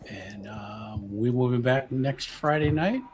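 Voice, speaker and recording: A man's voice: medium pitch, slow pace, music in the background. A man casually chatting as a host signing off a tabletop game stream. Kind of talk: casual stream sign-off chat. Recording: clear background noise.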